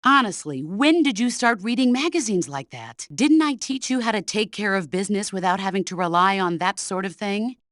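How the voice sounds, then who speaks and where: A woman's voice: mid-range voice, lively intonation, moderate pace, hardly any pauses, a bit bright, no room sound, clean studio sound, natural voice. A middle-aged woman playing a stern, commanding veteran soldier who mentors her former protégé in a video game. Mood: exasperated, scolding. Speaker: military mentor character. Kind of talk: video game voice acting, radio briefing dialogue.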